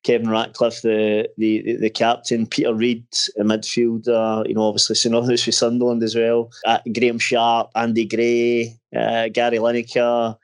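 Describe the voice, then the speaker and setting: A man's voice: mid-range voice, monotone, moderate pace, few pauses. A Scottish man in his thirties, a football coach, answering interview questions in a casual, hesitant way with lots of restarts and fillers. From Scotland.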